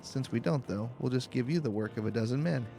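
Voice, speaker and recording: A man's voice: medium pitch, fast talker, creaky, mellow, natural voice. A man voicing a genial, joking fantasy game official with courteous, old-fashioned phrasing. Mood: amiable, teasing. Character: genial, jesting official welcoming a hero.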